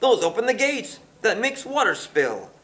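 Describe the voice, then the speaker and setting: A man's voice: high-pitched, sing-song, normal speed, fairly bright tone, pitched above the performer's own voice. A man voicing a sneaky, villainous beaked creature mayor in a children's storybook, in an exclaiming, gloating manner. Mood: smug commanding.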